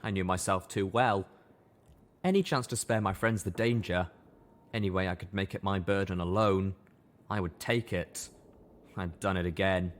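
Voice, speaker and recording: A man's voice: low voice, fairly even intonation, moderate pace, long pauses. A man reading scripted first-person fiction as a dramatic audiobook narrator.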